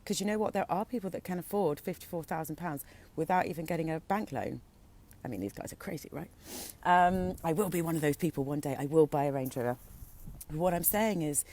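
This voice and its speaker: A woman who is an equine photographer, giving a chatty, encouraging impromptu talk to her group, a little stuffy from a cold. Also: low-pitched. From Britain. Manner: chatty, encouraging, relaxed with filler ums. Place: out at lunch.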